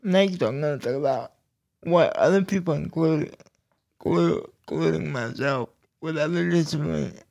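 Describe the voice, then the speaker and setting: Male podcast host, personal talk; very slow, halting delivery in short bursts, gravelly fry, slightly high-set voice.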